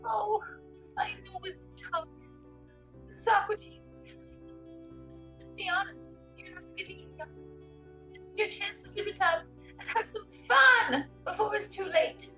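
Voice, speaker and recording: Lady doing a seductive persuasive recruiter gal, high voice, coaxing, comedy table read, faint music. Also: a little slow, pauses often, bright, forceful tone, exaggerated voice, poor audio. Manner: coaxing, reassuring. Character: persuasive, seductive female recruiter for a pickup artist.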